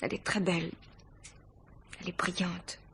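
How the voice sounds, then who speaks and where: A woman's voice: lowish voice, flat delivery, normal speed, fairly bright tone, slightly rough, full character voice. A woman acting a troubled young fiancée in a French radio mystery play, confiding in an earnest, dramatic way. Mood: anguished, confiding.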